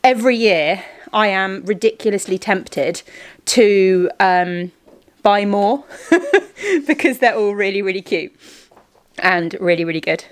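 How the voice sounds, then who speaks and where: A woman's voice: medium pitch, lively intonation, slow. A woman hosting a family vlog, chatting casually and explaining things in an informal way. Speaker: vlog host.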